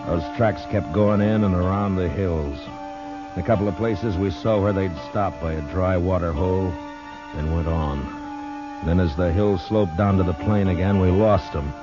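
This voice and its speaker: A stern frontier marshal played by a man, low-pitched and terse, with an American accent. He speaks grimly in old radio western style, on a muffled vintage recording with music. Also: moderate pace, close to an everyday voice. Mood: grim, weary. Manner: clipped, terse, grim. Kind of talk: 1950s radio western acting and first-person narration.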